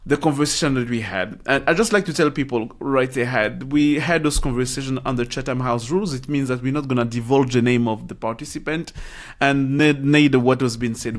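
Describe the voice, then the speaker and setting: A man in a mid-pitched voice hosts a reflective talk with a colleague. He speaks haltingly, breaking off and restarting his sentences as he hunts for words. His tone is conversational and thoughtful. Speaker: host.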